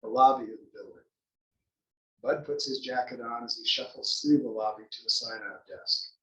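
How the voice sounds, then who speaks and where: A man's voice: mid-range voice, normal speed, sharp tone. A man reading scripted stage directions as the narrator at a play table read. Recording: echoey room, distant mic.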